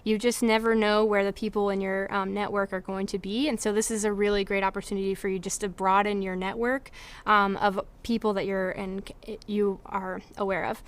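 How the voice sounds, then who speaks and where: Young woman study abroad advisor, smooth clear voice, lots of ums. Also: mid-range voice, little pitch movement, brisk. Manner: earnest, many fillers. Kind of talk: informational presentation.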